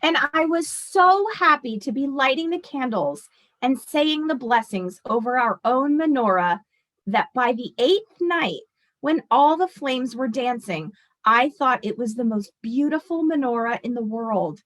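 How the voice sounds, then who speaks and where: A woman voicing an elderly grandmother in a storybook, tender and nostalgic, reminiscing with gentle emphasis. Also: sits high, animated, unhurried, rough recording. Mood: happy remembering. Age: older.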